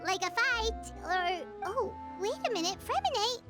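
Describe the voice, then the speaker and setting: A woman voicing a tiny, chirpy, nosy childlike floating companion in a video game, squeaky and excitable. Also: a little slow. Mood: concerned, chirpy. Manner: excitable, speaks in exclamations. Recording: music behind.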